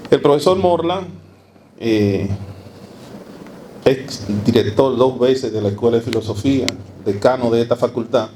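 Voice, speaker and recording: A man with a Dominican accent giving an academic lecture to a live audience, emphatic and halting, repeating phrases. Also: medium pitch, dark tone. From Dominican Republic. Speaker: academic speaker. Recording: live talk with noticeable background noise.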